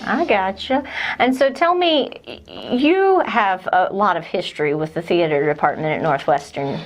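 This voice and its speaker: A woman's voice: mid-range voice, lively intonation, moderate pace. Woman, interview host, casual, conversational, mid-range voice.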